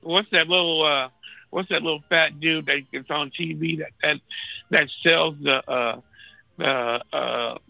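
A man with a raspy voice, thirsty and unfed in a hospital room, telling a story in a laid-back, slangy way. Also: a little high, steady tone, a little slow, dry recording, narrow, tinny audio. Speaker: guest calling in from a hospital bed. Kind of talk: casual storytelling chat.

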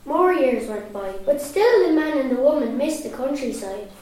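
A woman with an Irish accent narrating a story at a steady storytelling pace. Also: sits high, moderate pace. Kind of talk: storytelling reading. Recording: very echoey room, far from mic.

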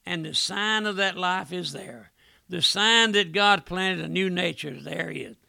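A middle-aged man preaching a fiery revival sermon in a high, piercing voice with heavy gravel. He presses his points hard, then sinks into a hush whenever he speaks directly to his listener. The sound is dry and close, with no room around it. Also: measured pace, no room sound. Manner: impassioned, repeats "my friend" with a dropped, hushed voice.

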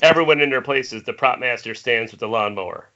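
A man's voice: mid-range voice, a little fast, pushed and bright, lo-fi sound, slight background noise. A man narrating the stage directions of a screenplay at a table read over an internet call. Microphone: internet video call.

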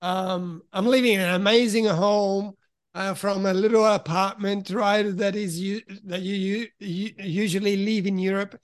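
A middle-aged man from Italy who grew up in Switzerland, with a high voice, recounting his past in a frank, reflective way. He pauses to search for the right words as he talks. The sound is dry and close, with no room echo. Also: fairly even intonation. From Italy, raised in Switzerland. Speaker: podcast guest. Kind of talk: candid personal storytelling in conversation.

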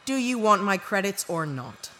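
An actress playing a brash, cunning, cool-headed disgraced ex-narc in an audio drama. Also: female voice, mid-range voice, big pitch swings, normal speed, sharp tone. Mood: cool, taunting.

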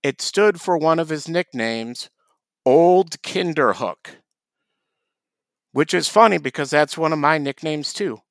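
Man, higher voice, gravelly, deadpan jokes, casual podcast banter, dry sound. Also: a little slow, no room sound. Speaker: podcast co-host. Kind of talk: casual comedic chat.

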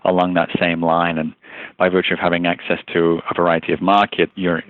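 A man with a faded Liverpool accent mixed with Northern English and North American tones, answering interview questions in a measured, explanatory way. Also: deep voice, completely flat delivery, dry recording. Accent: faded Northern English, Liverpool base, with a Canadian mix. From Liverpool, England. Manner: measured, explanatory, with small restarts. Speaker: association chief executive, interview guest. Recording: thin, phone-like line.

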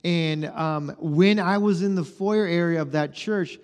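A man in his forties preaches in a church hall, telling a personal story casually and acting out each line of dialogue. His voice sits a little high and is warm-toned. A long reverb trails after his words. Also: measured pace. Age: 40s. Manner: conversational storytelling, acts out dialogue. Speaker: pastor. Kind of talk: sermon.

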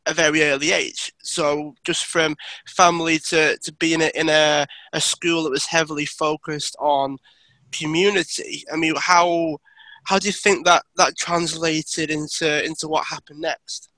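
Man, show host, interviewing, bright tone, fairly high pitch, dry sound. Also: little pitch movement, measured pace, no room sound, low-quality recording. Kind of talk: scripted introduction and interview questions.

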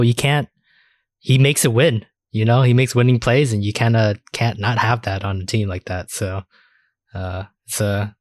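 Low-voiced man chatting casually, dry close sound. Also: no echo. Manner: rambling, slangy, swears casually. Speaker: podcast host. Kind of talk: casual anime discussion.

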